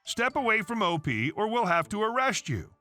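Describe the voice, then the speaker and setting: A man's voice: high-pitched, very animated, normal speed, sharp tone, faint music behind, voice pushed up, exaggerated voice. Man voicing a polite, firm cop, formal and patient, in a story narration. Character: patient, firm male police officer.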